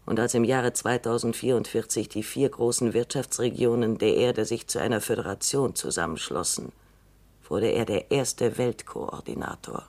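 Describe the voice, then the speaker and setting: An actress playing Doctor Calvin, a shrewd, dry and assured elderly woman robot psychologist, speaking in a measured, knowing way in a radio drama. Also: rumbling low, a little mellow. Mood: wry.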